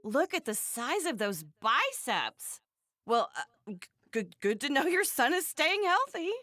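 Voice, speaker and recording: A strict, fretful anime mother with a high voice. Her delivery leaps around in pitch, emphatic and folksy, with feisty exasperation. The sound is a dry studio game recording. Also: sing-song, measured pace, sharp tone, dry recording, exaggerated voice. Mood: fond. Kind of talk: English anime game dub banter.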